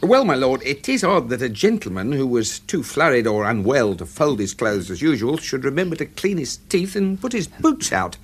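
Husky, deferential English manservant. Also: male voice, medium pitch, very animated. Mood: dryly respectful. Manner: formal, deferential, measured. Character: dry, deferential English gentleman's manservant, discreet and meticulous. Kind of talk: period radio drama acting.

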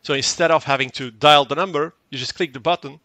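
A man's voice: fairly high pitch, fast talker, bright, forceful tone, no room sound. A man whose first language is Dutch, explaining a product in an interview and often repeating words as he goes. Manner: fluent but repeats words and restarts, acts out short quoted exchanges. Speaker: tech company guest.

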